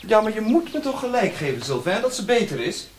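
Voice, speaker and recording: An actor in a radio drama playing a refined, fussy French colonial lieutenant with an effusive, precious manner. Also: male voice, sits high, big pitch swings, a little fast, a little hiss or hum. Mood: rapturous, insistent.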